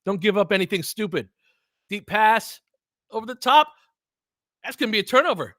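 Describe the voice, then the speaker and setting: A man's voice: high voice, animated, normal speed, a bit bright, no room sound. Clipped, partisan male basketball play-by-play caller, dry sound. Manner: clipped, fragmentary play calls; openly partisan, cheering for his team. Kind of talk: live fan-side play-by-play commentary.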